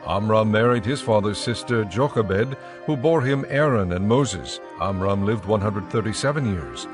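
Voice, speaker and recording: Low-voiced male scripture narrator. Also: lively intonation, moderate pace, music in the background.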